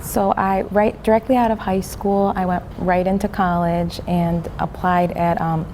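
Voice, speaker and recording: A woman's voice: lowish voice, steady tone, a little slow, a little mellow. A young adult woman, a registered nurse, answering interview questions in an earnest, methodical way with some ums and restarts. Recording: noisy recording.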